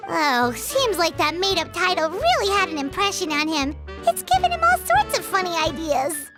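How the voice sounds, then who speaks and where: A woman voicing a tiny, cute, cheeky fairy companion in a video game, with a squeaky childlike voice. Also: big pitch swings, normal speed, music in the background. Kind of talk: English video game voice acting.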